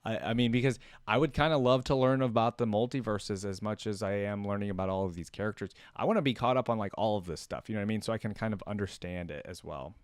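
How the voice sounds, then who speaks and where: A man's voice: fairly low pitch, fast talker, few pauses, clean tone, no echo. A man giving casual reaction commentary as a video host, speaking close to the microphone. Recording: dry, with game music behind.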